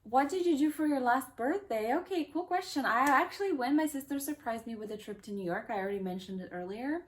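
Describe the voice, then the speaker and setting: A young woman whose first languages are Kazakh and Russian, reading questions aloud and answering them in a relaxed, rambling way with plenty of fillers. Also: sits high, brisk. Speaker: vlogger. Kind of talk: casual Q&A vlog.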